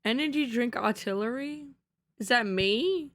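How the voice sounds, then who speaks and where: A woman's voice: on the high side, a little slow. A woman streaming live, chatting playfully with her chat, with a slight cough. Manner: playful, silly, sings nonsense syllables.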